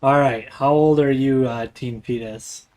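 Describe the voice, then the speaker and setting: A man's voice: medium pitch, steady tone, a little slow, darkish tone. A man in his late twenties chatting casually while gaming on a livestream, teasing and swearing freely. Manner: joking, teasing, profane. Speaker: game streamer. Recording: clearly noisy stream audio.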